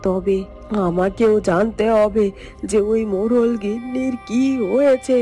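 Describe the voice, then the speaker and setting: A woman plays a protective mother turned bird in a fairytale cartoon. Her voice is mellow and fairly high, fretful as she wonders aloud, with music underneath. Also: measured pace, lightly acted. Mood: worried, puzzled. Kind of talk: Bengali fairytale cartoon voice acting.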